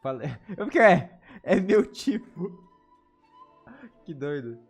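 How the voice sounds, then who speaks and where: A man's voice: a little high, very animated, measured pace. Brazilian man streaming, game music behind. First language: Portuguese. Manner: repeats words while chatting with viewers. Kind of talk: casual live stream chat.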